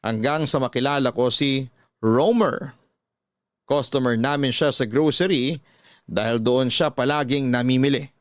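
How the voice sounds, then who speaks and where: A man's voice: mid-range voice, unhurried, no echo, clean studio sound. A man with a Filipino accent narrating a scripted first-person story as host of a radio drama.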